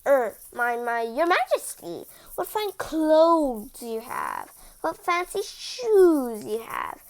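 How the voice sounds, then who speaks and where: A woman voicing a hesitant, flattering storybook wolf with halting, drawn-out speech. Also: high-pitched, heavily acted. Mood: fawning.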